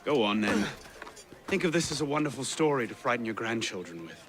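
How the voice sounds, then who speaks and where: A man's voice: mid-range voice, big pitch swings, a little fast, heavy background noise, natural voice. An actor playing a determined male assassin, terse and resolute, in a video game cutscene. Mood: stern, probing. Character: determined young male Assassin investigating a murder.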